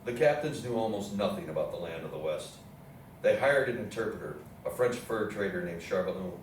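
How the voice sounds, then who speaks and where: A low-voiced man delivers scripted historical narration as a play's narrator. He is recorded from a distance in a very echoey room with faint noise. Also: lively intonation, brisk. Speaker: narrator in a readers theatre play. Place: indoor theatre room with audience program.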